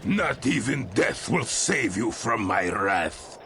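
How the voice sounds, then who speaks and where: A man playing a fierce, proud, gruff dwarf king in a fantasy game, with a gravelly voice and blunt, archaic delivery. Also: mid-range voice, big pitch swings, slow, sharp tone, slight background noise, heavily acted. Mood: vengeful fury. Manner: dramatic, blunt, archaic phrasing. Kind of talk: fantasy game cutscene acting.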